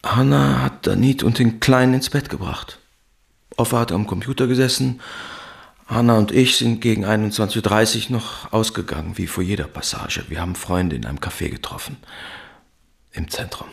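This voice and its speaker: Radio drama: weary working-class dad, middle-aged, gravelly and deep, guarded, reflective, understated. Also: male voice, normal speed. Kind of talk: German radio drama acting, subdued realism.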